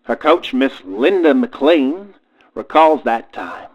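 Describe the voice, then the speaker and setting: A man's voice: medium pitch, big pitch swings, takes it slowly. A man narrating a comedy radio play in a scripted mock-documentary style. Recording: dull lo-fi sound, music behind. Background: background music.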